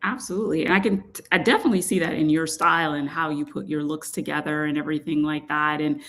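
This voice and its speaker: A woman with a lowish, gravelly voice hosts an interview. She is enthusiastic and affirming, often saying "you know" as she asks questions and reacts to her guest. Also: fairly even intonation, fast, fairly bright tone. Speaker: interview host. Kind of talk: conversational interview.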